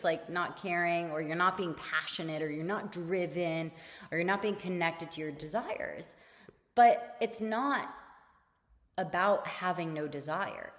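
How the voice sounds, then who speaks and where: A woman hosting a solo motivational podcast, talking casually and repeating her key points, her voice slightly raspy. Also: on the low side, a bit bright, big-room sound. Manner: casual and conversational, repeats key points, laughs between phrases. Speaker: podcast host.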